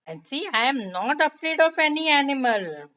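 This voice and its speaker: A woman's voice: high-pitched, big pitch swings, moderate pace, sharp tone, voice pushed up, full character voice. A woman voicing a proud, boastful male tiger in a children's folk tale, with emphatic phrasing.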